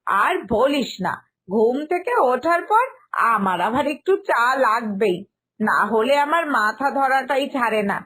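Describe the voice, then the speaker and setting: A woman's voice: fairly high pitch, expressive, unhurried, fairly bright tone, breathy edge, exaggerated voice. A woman voicing a lazy, slovenly aunt in a cartoon family story, breezy and chatty, scoffing at fuss. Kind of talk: animated Bengali family story dialogue.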